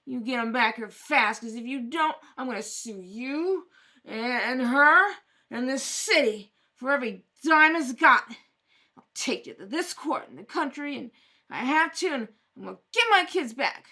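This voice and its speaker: A woman acts out a brash, boozy mother in a radio crime play. Her voice is high and piercing. She is slangy, wounded and quick to blame everyone else. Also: lively intonation, sharp tone, exaggerated voice. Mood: furious, scornful. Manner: slangy, brash, wailing, self-justifying. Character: angry, self-pitying hard-drinking neglectful mother. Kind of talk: old-time radio crime drama acting.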